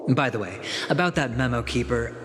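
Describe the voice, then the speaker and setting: An actor playing a smugly cordial, scheming gambler in a video game, with a theatrical, teasing manner. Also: male voice, medium pitch, expressive, background noise, lightly acted. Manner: smooth, teasing, theatrical, with deliberate pauses. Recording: loud game music behind the voice. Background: loud music.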